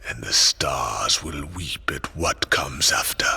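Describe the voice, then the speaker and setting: A man voicing a mysterious seer who speaks prophecy in a slow hushed whisper. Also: extremely low pitch, animated, gravelly, husky, full character voice. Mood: ominous. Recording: long reverb, hall-like.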